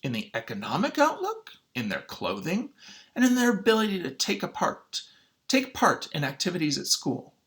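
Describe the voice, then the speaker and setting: A man's voice: high voice, huge pitch swings, normal speed, fairly bright tone, heavily acted. A man voicing a smug, prejudiced 1940s school superintendent giving assertive testimony in a storybook read-aloud. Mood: smug, disdainful.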